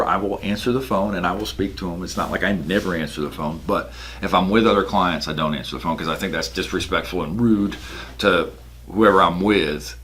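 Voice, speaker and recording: Man, very low pitch, bright forceful tone, casual explainer, emphatic conversational delivery. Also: slight background noise. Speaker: real estate broker. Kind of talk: casual explanatory talk about own work.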